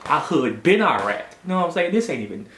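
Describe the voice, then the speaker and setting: A man in his twenties casually telling a personal story in an animated, slangy way, often dropping in little check-in phrases. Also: on the high side, fast talker, slight background noise. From Augusta, United States. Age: 20s. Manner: animated, slangy, punctuated with "you know what I'm saying". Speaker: storytelling vlogger. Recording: roomy echo with music behind. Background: background music.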